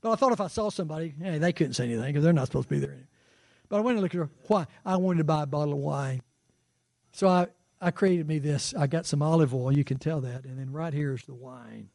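A man's voice: on the high side, fast talker, gravelly, darkish tone, dry recording. A man in his sixties preaching a sermon as pastor, conversational and fervent, with rising exclamations. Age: 60s. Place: church sanctuary.

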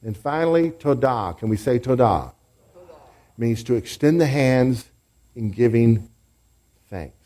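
A man's voice: medium pitch, expressive, a little slow, long pauses. Man preaching, leading call-and-response. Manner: call-and-response, leads congregation in shouts. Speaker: pastor. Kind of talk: sermon teaching.

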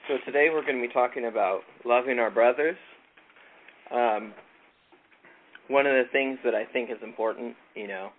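A man from California leading a casual Bible study, relaxed and halting with frequent ums. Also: on the low side. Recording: tinny phone-like line with faint noise.

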